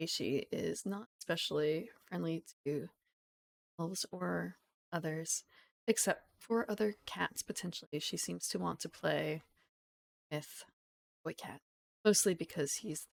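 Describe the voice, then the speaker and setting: A woman streamer with a lowish, gravelly voice, still croaky from just waking up. She chats loosely with her viewers, wandering off topic, giggling often and correcting herself as she goes. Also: measured pace. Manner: rambling, giggly, self-correcting. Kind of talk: casual live-stream chat.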